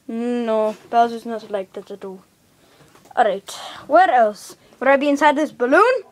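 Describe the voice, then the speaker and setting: A woman's voice: a little high, unhurried, slight background noise, natural voice. A woman playing a bossy, sarcastic, easily exasperated video game hero in an improvised amateur skit. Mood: musing, sarcastic.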